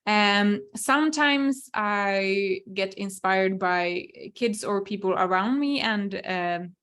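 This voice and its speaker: A young woman, speaking English as an at a slow, easy pace. She answers viewer questions through a computer mic, pausing to think aloud with frequent ums and small restarts. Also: mid-range voice, fairly bright tone, clear, resonant tone. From Finland. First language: Swedish. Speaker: webinar host, crochet designer. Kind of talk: live webinar Q&A.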